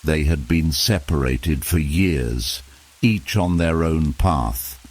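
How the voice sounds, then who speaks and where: A man narrating a bedtime fantasy story in a measured, deliberate storybook style. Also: a bass voice.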